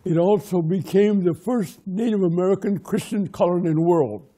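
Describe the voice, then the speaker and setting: A man whose first language is Lakota, from Pine Ridge, telling his community's oral history in measured, short, plain sentences. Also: high-pitched, mellow, low-quality recording. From Pine Ridge, South Dakota. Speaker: interviewee, local historian.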